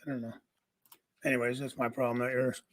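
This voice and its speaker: A man with a raspy voice hosting a casual livestream from home, greeting viewers by name and chatting. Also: mid-range voice, little pitch movement, fairly quick, a bit bright. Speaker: livestream host.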